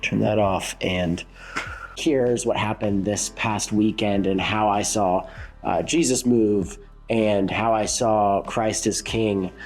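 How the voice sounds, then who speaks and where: A man's voice: fairly low pitch, steady tone, takes it slowly, faint music behind, poor audio. A congested, sick man talks through a casual reflective vlog as a worship leader, rambling with many fillers and restarts.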